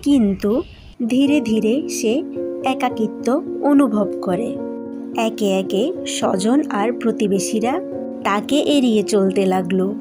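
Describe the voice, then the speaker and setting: A woman's voice: medium pitch, a little slow, long pauses, music in the background. A woman reading scripted fairy-tale narration as a cartoon storyteller.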